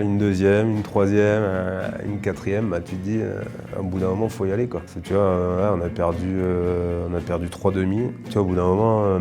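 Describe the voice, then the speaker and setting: A man in his thirties from northern France, a professional rugby player answering interview questions casually, with many fillers and restarts. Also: deep voice.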